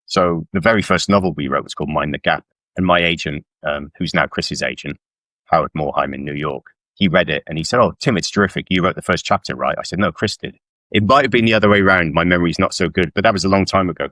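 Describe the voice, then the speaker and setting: Middle aged guy from south wales, really deep voice, casual author interview chat, plays himself down, dry sound. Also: quick pace, a bit bright, no room sound. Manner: self-deprecating, informal. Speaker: guest author.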